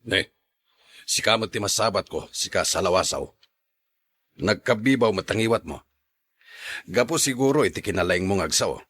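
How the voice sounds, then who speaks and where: A man's voice: lowish voice, animated, normal speed, long pauses, fairly bright tone, breathy edge. An actor playing an angry, threatening man who scolds a gossip in a radio drama. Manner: menacing, scolding.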